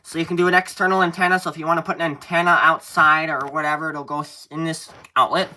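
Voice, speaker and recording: Casual man presenting over clear background noise. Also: a little high, little pitch movement, quick pace. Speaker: tutorial presenter. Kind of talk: casual hands-on gadget tutorial. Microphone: handheld camera.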